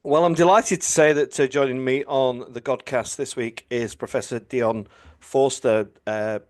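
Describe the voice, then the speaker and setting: A man's voice: medium pitch, fairly even intonation, a little slow. Man hosting a podcast interview. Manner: friendly, with hesitations and repeated words. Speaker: podcast host, priest.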